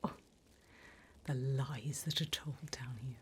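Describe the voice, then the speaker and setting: A woman voicing a politely bureaucratic, wickedly encouraging demon recruiter in a breathy, unhurried ASMR whisper. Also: very deep voice, fairly even intonation, slight background noise. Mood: businesslike, wicked relish. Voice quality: breathy whisper, mostly unvoiced. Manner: unhurried, polite, dryly businesslike about torment.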